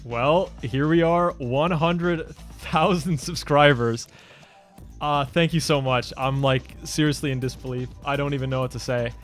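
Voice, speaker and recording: A man's voice: fairly high pitch, measured pace, soft background music. Young man chatting loosely, lots of fillers. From Denmark. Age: 20s. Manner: loose and rambling, many "like" fillers and restarts. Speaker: YouTube creator answering fan questions. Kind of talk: casual Q&A vlog.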